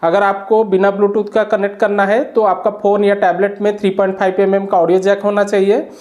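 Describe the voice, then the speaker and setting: A man's voice: high voice, little pitch movement, fairly quick, a little mellow. A man giving a product demonstration and review, explaining plainly step by step.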